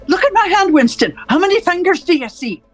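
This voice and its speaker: A woman playing a cold, domineering interrogator in a radio drama, speaking in deliberate, commanding, rhetorical questions and pronouncements. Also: extremely high pitch, sing-song, soft background music. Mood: stern, pressing. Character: cold, domineering party interrogator and torturer, righteous and controlling. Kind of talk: theatrical radio drama acting.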